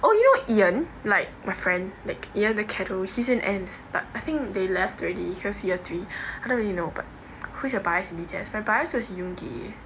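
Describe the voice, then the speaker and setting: A woman's voice: medium pitch, expressive, normal speed, fairly bright tone. A young adult woman with a Singaporean accent chatting casually on a livestream, reading and answering comments in an informal, offhand way. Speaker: livestream host. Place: home bedroom, indoors. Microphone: phone at arm's length. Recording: noisy phone recording in a room.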